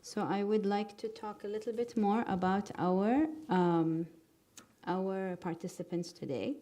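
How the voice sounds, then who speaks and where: Mellow-voiced woman moderating a conference panel. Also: medium pitch. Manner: halting, many fillers and restarts. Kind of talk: conference moderating, partly scripted. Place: conference hall. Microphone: handheld microphone.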